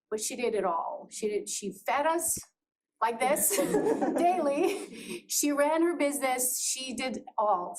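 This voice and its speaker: A woman's voice: fairly high pitch, darkish tone. Candid woman speaking to an audience from afar. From Azerbaijan. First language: Georgian. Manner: candid, laughing often, many restarts. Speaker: presenter. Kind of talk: personal talk to an audience. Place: presentation room. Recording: voice from far off with faint noise.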